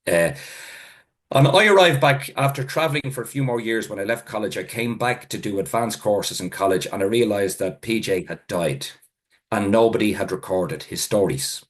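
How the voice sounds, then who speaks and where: A middle-aged man with an Irish accent, his first language being Irish, speaks in a slightly low voice. He tells his history in a loose, meandering way, full of fillers and self-corrections, like an informal lecture. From Ireland. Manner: rambling, self-correcting storyteller with many fillers. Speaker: food historian giving a talk.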